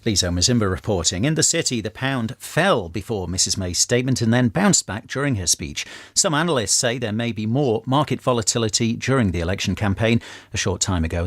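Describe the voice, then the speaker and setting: A man's voice: on the low side, no echo, clean studio sound. A man reading a scripted radio news bulletin as the newsreader.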